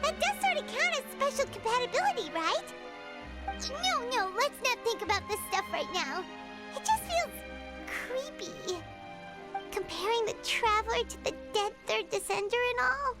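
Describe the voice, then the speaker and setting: Squeaky tiny fairy sidekick, super high and bouncy, loud game music under her. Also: very animated, exaggerated voice. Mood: uneasy. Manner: chatty, fretful, quick to react. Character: tiny floating female fairy companion, chatty and excitable.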